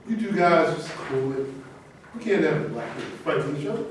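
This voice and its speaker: A sceptical, admonishing peacemaker played by a man in a staged reading. His voice is fairly high, questioning, and comes from far off in a very echoey room. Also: lively intonation, normal speed, lightly acted. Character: sceptical male peacemaker urging restraint. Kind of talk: live staged reading of a play. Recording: very echoey distant room recording, faint noise.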